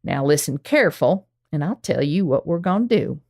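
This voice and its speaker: A woman acts out a female outlaw boss from an American radio western. Her voice sits a little low and moves with lively swings. She is commanding and folksy as she addresses her gang. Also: moderate pace. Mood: commanding, scheming. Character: bossy female outlaw gang leader of the Old West.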